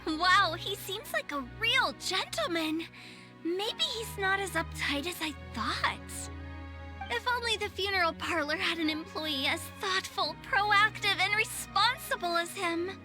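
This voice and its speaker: A woman's voice: extremely high pitch, expressive, unhurried. A woman playing a playful, teasing young funeral parlor director in a video game, chatty and theatrical. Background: faint music.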